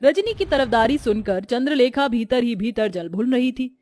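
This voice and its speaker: A woman's voice: a little high, expressive, normal speed, darkish tone, dry recording, faint music behind. A woman storyteller reading scripted narration for a cartoon tale.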